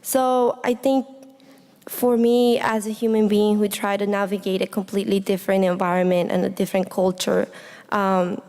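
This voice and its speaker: Young female student speaker, mid-pitched, earnest personal talk, recorded in a reverberant hall. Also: level tone, normal speed, a little mellow. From Honduras. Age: young adult. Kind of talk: personal reflective speech to an audience. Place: indoor hall, speaking to an audience. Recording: echoey hall with long reverb.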